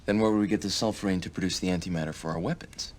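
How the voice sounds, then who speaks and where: An actor playing a gruff, sardonic military commander giving mission briefings in a video game cutscene. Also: male voice, deep voice, fairly even intonation, rapid-fire, a little hiss or hum, natural voice. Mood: wry, stern. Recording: music behind the voice. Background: music.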